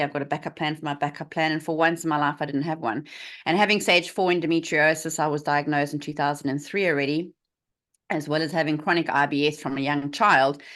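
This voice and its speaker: A woman's voice: low voice, a bit bright. A woman in her forties with a South African accent, a guest telling her story candidly in an interview, with many fillers. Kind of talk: interview over video call.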